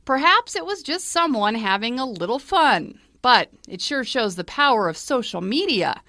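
A woman reading a TV news report in a crisp, scripted way. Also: a little high, very animated, normal speed, sharp tone, no echo, studio quality.

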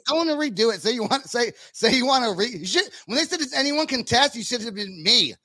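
Bright, high-pitched male podcast host. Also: lively intonation, fast, no room sound, clean studio sound. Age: 40s. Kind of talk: casual chat.

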